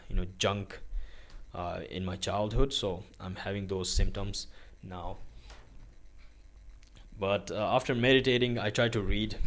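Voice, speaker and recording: A young man from Pakistan talks through his daily routine in a loose, rambling vlog, leaning on fillers and restarts. His voice sits a little low. He is recording in his bedroom on a phone set up on a tripod. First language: Urdu is his country's language, but not his first language. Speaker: vlogger. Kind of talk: casual day-in-the-life vlog.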